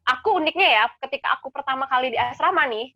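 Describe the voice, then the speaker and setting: A young woman co-hosting a campus podcast. Her voice sits a little high and bright, and she chats casually, thinking aloud with plenty of fillers. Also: expressive, fast talker, no echo, studio quality. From Indonesia. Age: young adult. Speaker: podcast co-host. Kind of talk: casual chat.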